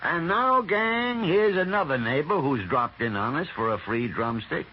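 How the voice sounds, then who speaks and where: A man's voice: fairly high pitch, animated, unhurried. An American man announcing acts as master of ceremonies in a 1940s radio style. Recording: muffled, faintly noisy 1940s radio broadcast.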